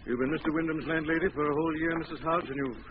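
A man playing a calm, polished, take-charge gentleman in a 1940s radio mystery. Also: fairly high pitch, very fast, natural voice. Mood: composed, reassuring. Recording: dull, noisy old radio recording.

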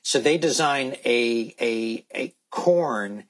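A man speaking as a guest expert in a candid interview, halting and repeating words as he insistently makes his points. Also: medium pitch. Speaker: guest expert on organic food.

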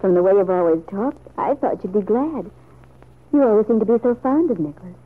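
An earnest, resolute young woman, played by an actress, speaks with a distinctly husky, breathy voice. It is old-time radio serial acting on a dull, lo-fi vintage recording. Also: medium pitch, expressive, a little fast, natural voice. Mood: earnest, determined. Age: young adult.

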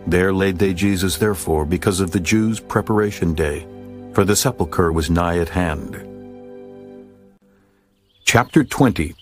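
A man narrating scripture in a solemn, steady reading. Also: low voice, a little slow, pauses often. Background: soft music.

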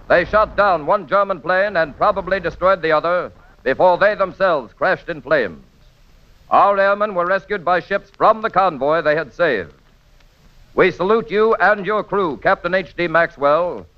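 High-pitched formal male radio announcer. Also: normal speed. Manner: formal, declamatory. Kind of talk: 1940s radio scripted tribute. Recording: muffled old radio recording.

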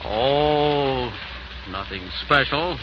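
A man's voice: mid-range voice, extremely slow. An actor playing a friendly, enterprising businessman with a casual manner in a 1940s radio drama. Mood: tentative, persuasive. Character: friendly, enterprising businessman pitching a partnership to an old pal. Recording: noisy, dull 1940s radio broadcast.

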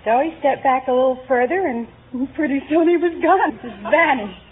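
A woman's voice: high voice, animated, moderate pace. A woman from New York State chatting and telling a story as a quiz contestant. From New York State, United States. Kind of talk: conversational storytelling. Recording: tinny old radio recording, faint noise, audience. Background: studio audience.

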